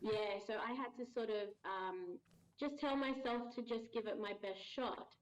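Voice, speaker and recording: A woman in her thirties, a voice actress, answering interview questions thoughtfully with many pauses and restarts. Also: fairly high pitch, lo-fi sound. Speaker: voice actress guest.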